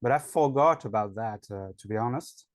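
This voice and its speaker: A man's voice: mid-range voice, a little fast, no echo, clean studio sound. A man with a French accent, an online English teacher, answering interview questions in a hesitant way with many fillers. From France.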